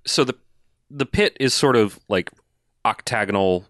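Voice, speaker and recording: A man co-hosting a casual podcast, talking hesitantly with many fillers before jumping up in excitement. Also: fairly low pitch, measured pace, no room sound, clean studio sound. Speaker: podcast co-host. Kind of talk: casual chat about a movie.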